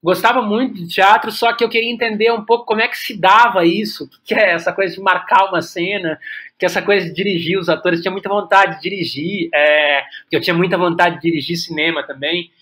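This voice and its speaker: High-voiced, bright Brazilian man, thinking aloud. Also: fairly even intonation. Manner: halting, searching, repeats words as he thinks aloud. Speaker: podcast guest, playwright and director. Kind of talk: reflective conversational interview.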